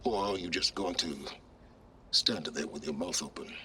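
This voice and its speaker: An actor playing an arrogant, secretive older male researcher in a video game, speaking in a measured, pompous, sardonic and condescending way. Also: low voice, bright, forceful tone, slight background noise. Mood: smug. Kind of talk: video game cutscene acting.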